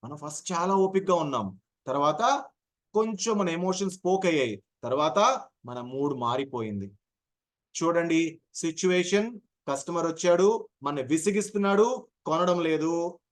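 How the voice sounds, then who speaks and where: Male trainer, high voice, explanatory, question-and-answer delivery, repeats for emphasis. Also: animated, normal speed. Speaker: voice modulation trainer. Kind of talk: informal teaching with acted everyday examples.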